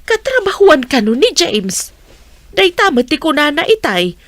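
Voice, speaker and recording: A woman acting a nosy, blunt village mother in an Ilocano radio comedy drama, with a probing, animated manner and an Ilocano accent. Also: sits high, measured pace. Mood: curious, explaining.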